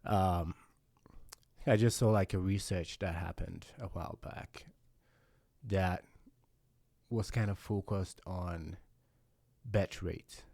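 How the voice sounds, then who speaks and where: Male podcast host, low voice, mellow tone, dry close sound, reflective, casual chat. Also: fairly even intonation, takes it slowly, vocal fry, long pauses, no room sound. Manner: reflective, thinks aloud with many "you know" and "like" fillers.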